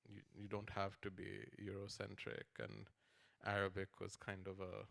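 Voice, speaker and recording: A young adult man, a writer and translator, speaking haltingly and searching for words in a casual conversation. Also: low voice, completely flat delivery, gravelly, fairly bright tone.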